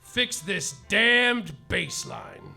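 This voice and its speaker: A man's voice: high voice, wildly swooping pitch, slow pace, vocal fry, pushed and bright, breathy edge, soft background music, full character voice. An actor playing a hapless, overwrought private detective narrating his misfortunes in a live comedy radio play. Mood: mopey. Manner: melodramatic noir narration, overwrought. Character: hapless hard-boiled male private detective. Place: theater stage, live.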